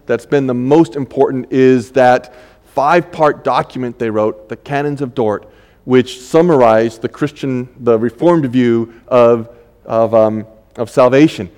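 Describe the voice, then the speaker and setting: An older man preaching a sermon as pastor, conversational and halting, with many fillers and restarts. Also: medium pitch, a little mellow, big-room sound.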